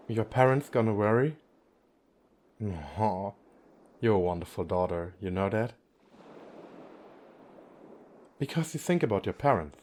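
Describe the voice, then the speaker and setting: A man's voice: lowish voice, a little mellow, full character voice. A man playing a playful, effeminate young sea god in an ASMR romantic roleplay, teasing and coaxing as he speaks directly to the listener. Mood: friendly, curious.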